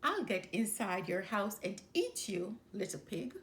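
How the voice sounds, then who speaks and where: A woman voicing a hungry, menacing male storybook wolf with growling, drawn-out taunts. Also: mid-range voice, big pitch swings, a bit bright, a little hiss or hum. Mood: angry menace.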